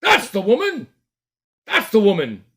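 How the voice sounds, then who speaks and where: A man voicing a loud, indignant stout shopkeeper in a read-aloud storybook. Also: high voice, huge pitch swings, a little slow, background noise, voice pushed up, full character voice. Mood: outraged. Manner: shouting, outraged.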